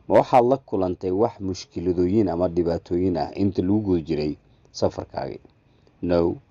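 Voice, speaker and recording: A man teaching a language lesson who reads each phrase slowly and then translates it. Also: deep voice, mellow, no echo. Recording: dull lo-fi audio.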